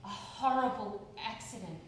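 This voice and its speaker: A woman's voice: mid-range voice, normal speed, natural voice. An actress playing a guarded, questioning young woman in a live radio play mystery. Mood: tense, suspicious. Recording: very echoey stage, clear background noise.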